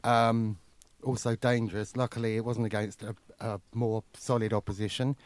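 A man's voice: on the low side, little pitch movement, moderate pace, no room sound. Man in his fifties with dry humour. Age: 50s. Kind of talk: casual sports radio chat.

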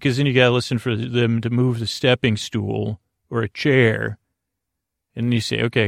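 Male sleep-podcast host: gravelly, creaky delivery, lulling and meandering, recorded dry and close. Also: mid-range voice, dry recording. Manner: lulling, meandering, halting with tangents and self-interruptions. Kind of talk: rambling bedtime monologue.

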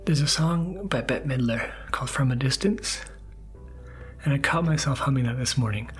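A man giving a soft, soothing introduction to a bedtime podcast, breathy and at times nearly whispering. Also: mid-range voice, moderate pace. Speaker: podcast episode producer introducing the show. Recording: music bed behind the voice. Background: music.

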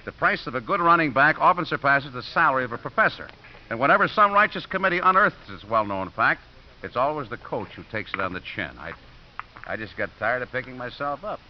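Cynical pool-hall hustler, acted by a man, mid-range voice, animated, sardonic, wisecracking, muffled 1950s radio drama. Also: medium pitch, fairly quick, natural voice. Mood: bitter, sardonic. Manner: sardonic, breezy. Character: cynical, wisecracking washed-up football coach turned pool hustler. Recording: muffled, hissy old 1950s radio recording.

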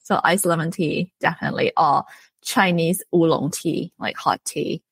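A young woman from Thailand, a guest author, speaks in a fairly low voice. Her talk is chatty and friendly, peppered with fillers. The sound is close and dry, with no room in it. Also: normal speed, slightly rough, no room sound. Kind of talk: casual interview chat.

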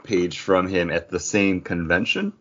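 A man with a fairly low voice co-hosts a podcast. He speaks in casual, conversational chat with his fellow hosts. The audio sounds a little dull. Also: a little slow, a bit bright. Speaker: podcast co-host.

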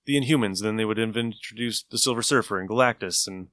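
Bright-voiced man hosting a casual podcast. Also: on the low side, quick pace, no echo, studio quality. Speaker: podcast host. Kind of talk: casual chat reviewing old radio shows.

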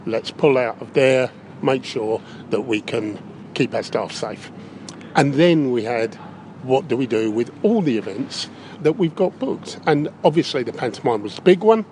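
A man's voice: mid-range voice, darkish tone. A British man, a council leader, answering interview questions in a plain, matter-of-fact way. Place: inside a circus big top tent. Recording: noisy location recording inside big tent.

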